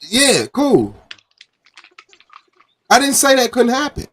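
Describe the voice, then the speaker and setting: A man's voice: extremely high pitch, wildly swooping pitch, slow, a bit bright. Man arguing insistently in casual slang. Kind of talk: casual debate.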